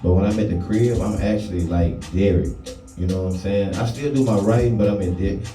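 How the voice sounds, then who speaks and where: A man's voice: deep voice, fairly quick, warm tone. A young man, a rapper guest, talking casually in an interview and often saying "you know what I'm saying". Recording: roomy studio with distant mic and music. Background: music playing.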